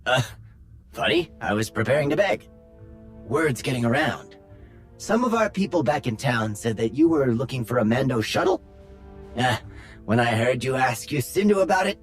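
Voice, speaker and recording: An actor playing a quick, earnest young rebel leader in a video game, a little wry. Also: male voice, a little high, a bit bright. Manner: hurried, earnest, a bit wry.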